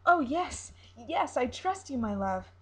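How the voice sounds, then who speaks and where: A woman's voice: high-pitched, sing-song, takes it slowly, voice pushed up, exaggerated voice. A woman voicing a naive young mermaid in a story, with a breathless, emotional delivery. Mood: gushing, eager. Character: naive young female mermaid, lovestruck, pleading and frantic.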